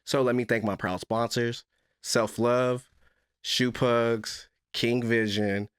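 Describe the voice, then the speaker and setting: A man's voice: medium pitch, steady tone, slow, clear, resonant tone, no room sound, clean studio sound. A man hosting a casual interview podcast, giving upbeat hype-style introductions with some slang. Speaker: podcast host.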